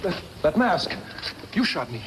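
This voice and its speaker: A man's voice: fairly high pitch, big pitch swings, a little slow. A young man playing an earnest, polite mining engineer in a 1950s radio western. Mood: shaken. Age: young adult. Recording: noisy old radio recording.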